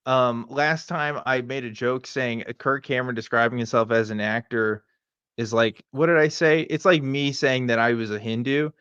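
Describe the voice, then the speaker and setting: A man's voice: medium pitch, steady tone, normal speed, fairly bright tone, clear, resonant tone, dry recording, highs cut off. A man hosting a live show, chatting casually and joking in a sarcastic manner. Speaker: host of a live weekly show. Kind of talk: casual, joking commentary chat.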